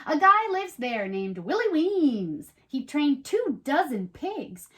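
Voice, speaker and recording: Animated high-pitched woman reading to children. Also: slow. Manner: animated, explaining things simply for children. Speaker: children's show host reading a picture book aloud. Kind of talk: expressive read-aloud storytelling with playful chat.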